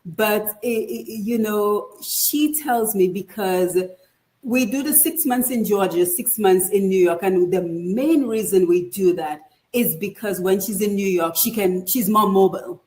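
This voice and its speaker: A woman author speaking as a guest in an online interview over a video call, earnest and expressive. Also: medium pitch, unhurried, low-quality recording. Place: home in Georgia.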